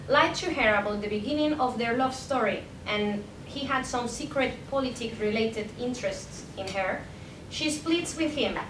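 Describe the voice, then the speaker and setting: Young woman w reading out a conference paper, pretty high voice, mic kinda far off in an echoey room. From Spain. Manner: reading from a prepared text with occasional stumbles. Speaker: academic presenter, PhD candidate. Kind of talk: conference paper read aloud. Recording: echoey room, distant mic, faint rumbling noise.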